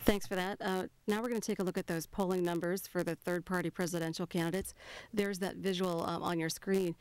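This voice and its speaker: A woman's voice: on the low side, steady tone, fairly quick, clean tone, dry recording. An American woman presenting live news and taking viewer calls as host. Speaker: TV call-in show host.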